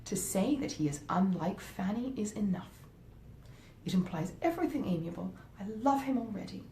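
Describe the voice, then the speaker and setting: A woman's voice: animated, normal speed, warm tone, natural voice. A woman voicing a sentimental, affectionate middle-aged mother in a Regency storybook reading. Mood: gushing. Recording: roomy echo, faint rumbling noise.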